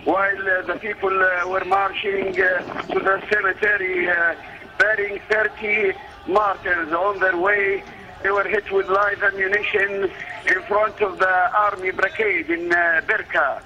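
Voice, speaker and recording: A man giving an eyewitness report over a muffled line, halting with many pauses and fillers. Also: high-pitched, little pitch movement, background noise. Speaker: eyewitness on the phone.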